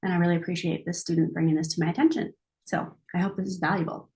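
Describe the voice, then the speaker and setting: A woman's voice: on the low side, fairly even intonation, fast talker, a little mellow. A woman who supervises counselors, hosting a panel discussion in an upbeat, conversational way.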